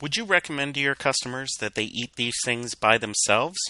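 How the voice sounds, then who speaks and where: A man voicing a young female pony student of magic, soft-spoken and earnest, in a storybook reading. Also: mid-range voice, lively intonation, brisk, no room sound, voice pushed up. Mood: curious.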